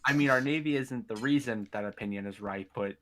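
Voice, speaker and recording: A man's voice: mid-range voice, fairly quick, fairly bright tone, a little hiss or hum. A man in casual, joking group chat on a gaming livestream. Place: online group voice call.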